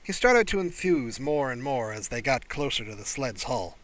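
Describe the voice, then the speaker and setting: A man narrating a science fiction audiobook in an expressive, scripted reading style. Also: medium pitch, normal speed.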